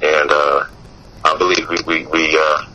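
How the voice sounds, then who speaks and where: Bright-voiced man, rambling casual talk. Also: very deep voice, measured pace, slight background noise, poor audio. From Mississippi. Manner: relaxed, rambling, many fillers and restarts. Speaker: guest musician. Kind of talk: casual interview.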